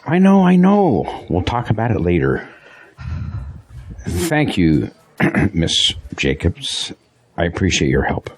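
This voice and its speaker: An actor playing a devout, steadfast pastor in an audio drama, speaking in an earnest, resolute way. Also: male voice, deep voice, big pitch swings, slow, pauses often, husky, heavily acted. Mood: steady, concerned. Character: devout, steadfast male pastor facing persecution, married.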